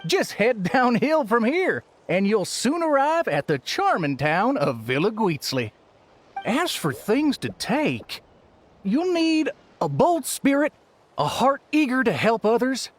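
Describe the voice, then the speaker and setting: A man's voice: extremely high pitch, very animated, a little slow, exaggerated voice. A man voicing a genial, theatrical festival greeter in a video game, grand and ceremonious. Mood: encouraging.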